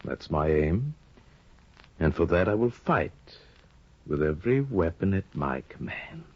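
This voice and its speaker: An actor playing a sly, scheming older judge in a 1950 radio drama, insinuating and hesitant, on a dull old broadcast recording. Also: male voice, sits low, big pitch swings, husky, exaggerated voice. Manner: insinuating, hesitant with drawn-out ums. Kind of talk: 1950s radio drama acting.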